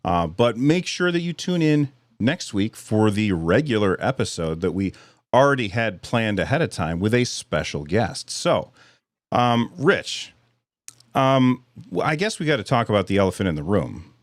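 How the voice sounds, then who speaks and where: A man hosting a live podcast in casual chat, a little hoarse, rambling and self-deprecating with frequent restarts. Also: fairly low pitch, sing-song, normal speed, no echo, clean studio sound. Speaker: podcast host.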